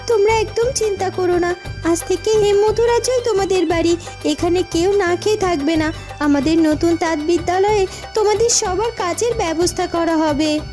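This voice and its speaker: A woman's voice: very high voice, moderate pace, over music. A woman voicing a kind, dignified young cartoon princess who speaks in a reassuring, moralizing way. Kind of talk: Bengali fairy-tale cartoon dubbing.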